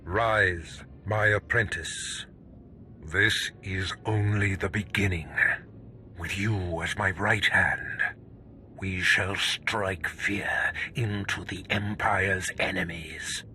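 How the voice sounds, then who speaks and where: Slow, forceful dark master. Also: male voice, low-pitched, steady tone, slight background noise, full character voice. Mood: triumphant. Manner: pompous, grandiose. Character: imperious, gloating male Sith master, mentor villain. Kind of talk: video game cutscene acting.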